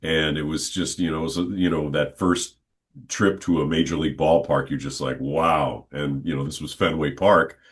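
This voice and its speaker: A man in his sixties, a guest on a podcast, telling stories from his childhood in an unhurried, conversational way. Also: a bass voice, steady tone, husky. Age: 60s. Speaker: interview guest, stadium announcer. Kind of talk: relaxed reminiscing conversation.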